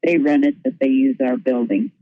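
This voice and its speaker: A man's voice: mid-range voice, normal speed, dry recording. A man answering briefly and without a script as a panelist on a call. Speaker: webinar panelist. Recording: dull, band-limited call audio.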